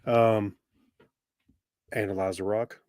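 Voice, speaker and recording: A man's voice: fairly low pitch, flat delivery, slow, a little mellow, dry recording, studio quality. A man co-hosting a podcast, chatting casually in a laid-back, joking manner. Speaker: podcast co-host. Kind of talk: casual chat.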